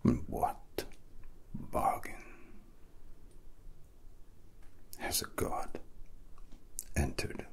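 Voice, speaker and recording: A young man playing a menacing, arrogant villain scientist in a soft-spoken ASMR roleplay, speaking deliberately with theatrical pauses, close to the microphone. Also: natural voice. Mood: menacing, superior.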